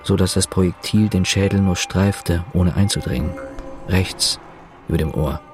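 Radio drama prisoner, male actor: low register, introspective and bitter, volatile temper, denies his identity. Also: music in the background, close to an everyday voice. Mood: brooding, confessional. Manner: measured, reflective narration breaking into furious shouting. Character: prisoner denying his identity, brooding, bitter, given to outbursts. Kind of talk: German radio play, first-person narration and acted dialogue.

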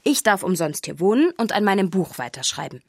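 An actress playing a chatty, easygoing castle maid who narrates a children's audio drama in a confiding, conversational way. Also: female voice, medium pitch, sing-song, a little fast, dry recording, studio quality. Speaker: first-person narrator. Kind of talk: scripted storytelling for children.

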